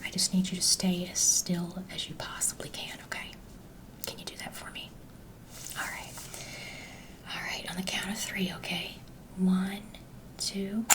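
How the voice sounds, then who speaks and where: Slow whispering woman in an ASMR doctor roleplay. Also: on the low side, flat delivery, far from the mic. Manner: gentle, reassuring, unhurried, speaking directly to a patient.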